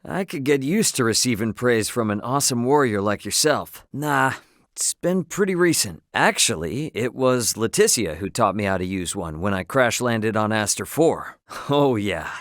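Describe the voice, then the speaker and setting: Actor as brash, animated young space captain. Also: male voice, medium pitch, normal speed, no echo, clean studio sound, lightly acted. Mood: appreciative. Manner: casual, slangy, plain-spoken. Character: brash, good-hearted young male spacefaring merchant captain. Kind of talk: video game voice acting.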